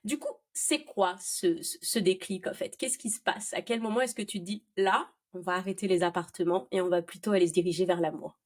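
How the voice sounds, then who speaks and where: A woman's voice: lowish voice, brisk, few pauses, a little mellow. A woman hosting a podcast, asking her guest friendly, curious questions. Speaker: podcast host. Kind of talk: interview questions.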